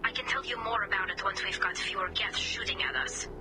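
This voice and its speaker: A woman's voice: mid-range voice, brisk. A woman playing an earnest, wistful young female alien engineer in a video game, heard through a helmet radio filter. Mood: earnest, focused. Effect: helmet filter, radio comms. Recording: game audio with music behind, filtered voice. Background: music.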